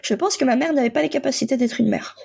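A Polish-born woman in her forties who is a coach, telling her own story in a halting, reflective way with frequent pauses. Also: sits high, gravelly, a little mellow, breathy edge. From Poland. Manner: halting, many pauses and fillers. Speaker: guest, coach and psychotherapist. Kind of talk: reflective personal testimony.

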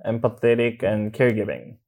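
A man from South Korea with a Korean accent, speaking haltingly as a guest in a conversational interview. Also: fairly low pitch, steady tone, vocal fry, darkish tone. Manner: halting, hesitant phrasing with many pauses. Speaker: podcast guest, kink instructor. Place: studio room, in person.

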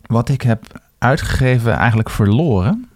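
A man co-hosting a podcast in casual chat, speaking in a hesitant, halting way. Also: medium pitch, expressive, darkish tone, slight background noise. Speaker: podcast co-host.

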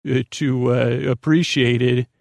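Guy with a creaky gravelly voice doing a sleepy bedtime podcast, rambles and stumbles along, soothing, really dry close sound. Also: medium pitch, fairly even intonation, no room sound. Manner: halting, meandering, self-deprecating; lulling, soothing. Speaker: podcast host.

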